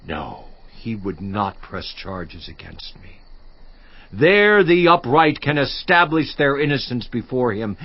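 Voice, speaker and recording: Anguished suffering man, audio drama, very slow, hushed and grave, dry dull studio sound. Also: on the high side, dry recording. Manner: slow, grave, sinking to a hushed near-whisper. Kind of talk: dramatized scripture reading.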